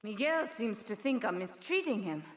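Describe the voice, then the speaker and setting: A woman's voice: medium pitch, lively intonation, normal speed, natural voice. An actress playing a cool, ruthless female crime boss in a video game, poised and matter-of-fact about violence. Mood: cool, calculating. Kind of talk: scripted video game cutscene acting.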